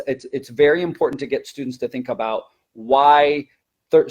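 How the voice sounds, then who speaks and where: A man with a medium-pitched voice presents as an experienced language teacher in a conversational webinar. He speaks emphatically, poses rhetorical questions, and builds his explanations in short stop-and-start phrases. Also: darkish tone.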